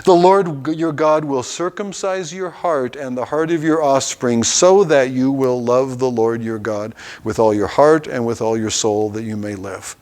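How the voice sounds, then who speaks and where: A man's voice: medium pitch, measured pace, few pauses, darkish tone. A man teaching a Bible class, reading scripture passages aloud and stopping to explain them in an everyday way.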